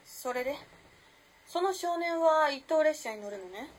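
A woman's voice: high voice, animated, unhurried. An actress playing a teasing, self-possessed, mysterious modern girl of the 1910s in a radio drama, using old-fashioned feminine speech. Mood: knowing. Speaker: actor in amateur radio drama. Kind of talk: Japanese radio drama acting, storytelling. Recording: noisy background ambience.

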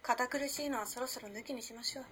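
A refined, self-possessed young lady of the early twentieth century played by an actress. Her highish voice is composed and knowing, with old-fashioned phrasing. The radio-drama recording has noticeable noise. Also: fast, a bit bright, natural voice. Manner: composed, elegant, old-fashioned feminine speech. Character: poised, refined early-1900s modern young woman, knowing. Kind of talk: radio drama acting, storytelling.

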